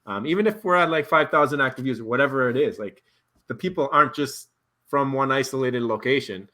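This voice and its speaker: A man hosting a casual video call chat, relaxed and rambling, laughing easily. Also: medium pitch, brisk. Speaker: host.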